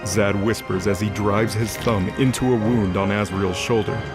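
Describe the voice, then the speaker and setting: Low-pitched male narrator over music. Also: steady tone, moderate pace. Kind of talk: dramatic scripted narration.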